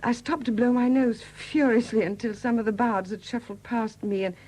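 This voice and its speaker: An actress in a 1970s British radio drama playing a widowed murderess who confesses in a hesitant, confiding manner. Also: female voice, a little high, steady tone, moderate pace, darkish tone, natural voice, rough recording. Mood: tense recollection. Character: quiet confessing murderess, a Welsh sculptor's widow.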